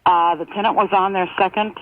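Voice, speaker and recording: An American woman calling a radio show by telephone, soft-spoken, with brief questions and answers. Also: mid-range voice, fairly even intonation, brisk. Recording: tinny phone line with faint noise.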